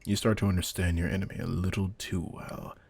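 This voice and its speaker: A man playing a veteran vampire hunter in an audio drama, a controlled, intense mentor who speaks in a deliberate, commanding, quietly menacing way. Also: sits low, little pitch movement, no room sound, exaggerated voice. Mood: wry, guarded. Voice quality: gravelly, husky. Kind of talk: scripted roleplay audio drama.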